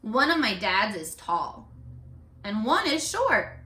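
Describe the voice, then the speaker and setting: A woman reading a picture book aloud to young children in an expressive, instructive way, speaking to them directly as a teacher. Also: mid-range voice, slow pace. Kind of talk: read-aloud for young children with questions to the listeners. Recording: echoey room, distant microphone.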